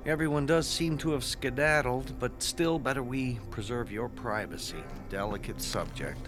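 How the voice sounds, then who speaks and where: A man playing a nervous, ingratiating school guidance counselor in his twenties in an audio drama, speaking haltingly. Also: medium pitch, over music, natural voice. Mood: nervous probing. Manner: hesitant, ingratiating, halting with ums.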